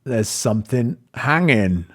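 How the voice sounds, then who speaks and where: A fed-up, hot-tempered man in a horror story, acted out by a male reader. He speaks in blunt exclamations as he faces a dark cellar. The recording is dry and close. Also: medium pitch, lively intonation, slow, no room sound. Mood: horrified. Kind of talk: dramatised horror story dialogue.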